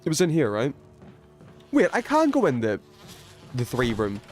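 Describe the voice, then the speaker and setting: A man with a mid-pitched voice talks casually as he hosts a gaming livestream. He rambles and thinks aloud, slipping in the odd swear word, with faint music playing behind him. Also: wildly swooping pitch, moderate pace. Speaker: livestream host playing a video game. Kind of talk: casual gaming stream chat, reading viewer messages.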